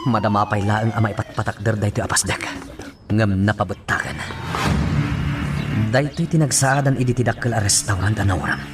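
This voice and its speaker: A man's voice: fairly low pitch, moderate pace, long pauses, lightly acted. A man playing an authoritative police chief giving orders in a radio drama. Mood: commanding, grave. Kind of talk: Ilocano radio drama acting. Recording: distant voice under very loud music, sirens.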